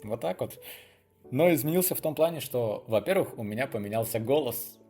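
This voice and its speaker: A young adult man talks casually to his viewers as a video blogger. His delivery is unscripted and chatty, wandering as he thinks aloud. His voice sits at an average male pitch, with music playing faintly behind it. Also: expressive, brisk, warm tone.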